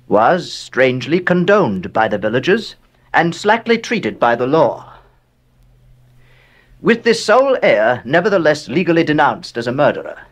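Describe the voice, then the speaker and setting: An actor playing an old, bereaved, wealthy American man who narrates a horror radio drama in a measured, solemn way with dramatic pauses. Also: mid-range voice.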